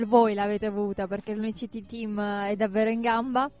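A woman's voice: medium pitch, flat delivery, normal speed, a little mellow. A woman hosting a live radio interview. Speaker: radio host. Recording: music bed under voice. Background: background music.

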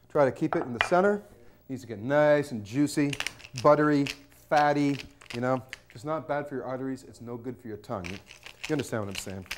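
A man's voice: medium pitch, slight background noise. A man in his thirties hosting a cooking show in a studio kitchen, cheeky and joking as he talks to camera. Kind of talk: jokey cooking demonstration.

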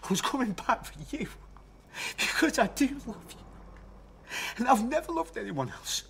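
An actor playing a volatile, pleading estranged husband in a radio drama, agitated and insistent. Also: male voice, very high-pitched, sing-song, moderate pace, creaky, a bit bright, slight background noise, exaggerated voice. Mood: pleading, tender.

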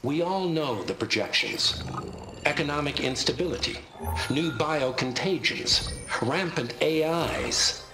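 Echoey, grandiose corporate pitchman. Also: expressive, measured pace. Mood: persuasive, urgent. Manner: grandiose, persuasive. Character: polished, grandiose corporate pitchman for space colony venture. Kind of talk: scripted promotional presentation, video game acting. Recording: echoey projected playback with music. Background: music.

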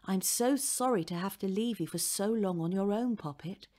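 A woman's voice: medium pitch, moderate pace, warm tone, close to an everyday voice. A woman voicing a cheerful, affectionate mother with a tender, reassuring manner in a children's story. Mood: apologetic.

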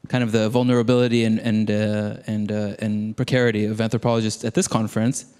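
A man's voice: fairly low pitch, little pitch movement, fast, darkish tone, smooth. A man in his thirties putting an informal question from a conference audience on a handheld microphone. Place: audience seats in large auditorium. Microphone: handheld microphone held close. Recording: reverberant hall sound.

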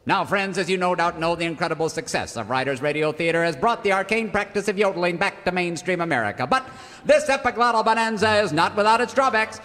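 An actor playing an oily, grandiose pitchman in a parody radio commercial. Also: lively intonation, fast, a bit bright. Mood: grandly earnest. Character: smarmy evangelizing radio pitchman selling gadgets. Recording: reverberant theater hall.

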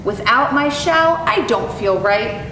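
Woman voicing an impatient, timid storybook tortoise, high-pitched. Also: expressive, slow. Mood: homesick. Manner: exclamatory, dramatic storybook delivery. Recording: echoey, distant mic with clear rumbling noise.

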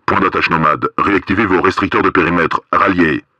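An actor playing a stern, cold paramilitary police officer in a video game, giving terse commands through a radio filter. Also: male voice, deep voice, steady tone, brisk, sharp tone, no room sound, highs cut off. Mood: clipped reporting. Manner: terse, commanding, coded military phrases.

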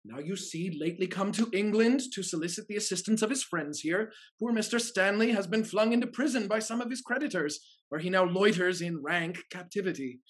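A man acting a loyal, earnest family steward in a period stage comedy, measured and articulate. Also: sits high, lightly acted. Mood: explaining, confident. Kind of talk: theatrical period comedy acting over video call. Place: indoors, on a video call.

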